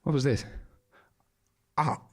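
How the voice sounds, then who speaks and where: A man performing a theatrical horror monologue as a haunted man dwelling on gruesome details, with a husky, gravelly voice. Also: slow pace, close to an everyday voice. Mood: pained. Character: haunted man recounting a grisly hotel nightmare, wry and dramatic. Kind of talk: dramatic monologue performed on stage.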